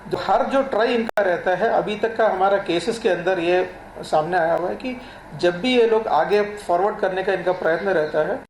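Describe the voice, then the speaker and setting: A man answers as an official guest in a roomy, echoing space with faint noise. His delivery is formal, halting and repetitive. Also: high voice. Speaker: law enforcement official, interview guest. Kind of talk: formal explanation in a TV interview.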